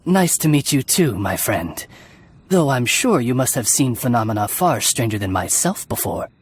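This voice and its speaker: A man's voice: mid-range voice, sing-song, normal speed, a little hiss or hum, lightly acted. A man voicing a humble, polite talking raven in a video game, speaking formally like a butler. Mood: courteous. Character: courteous male talking raven, humble familiar to a princess.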